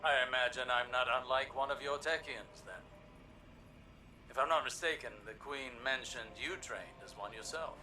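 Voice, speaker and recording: An actor playing an older scholarly warlock in a video game, grave and proud, with formal, measured, erudite speech. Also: male voice, mid-range voice, over music, close to an everyday voice, poor audio. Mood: dry, curious.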